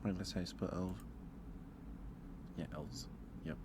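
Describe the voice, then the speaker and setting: Male ASMR streamer, deep-voiced and slow, rambling informally, with clearly audible ambient noise behind him. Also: steady tone. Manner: hesitant, rambling, repeats phrases. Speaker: livestream host. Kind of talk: casual ASMR livestream chat.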